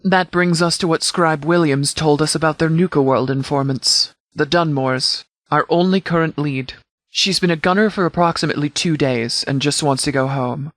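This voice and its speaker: Man acting a formal, measured military commander. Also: a little high, fairly bright tone, no room sound, close to an everyday voice. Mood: stern, formal. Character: stern, caring male military commander briefing troops. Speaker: video game voice actor. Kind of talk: scripted game dialogue.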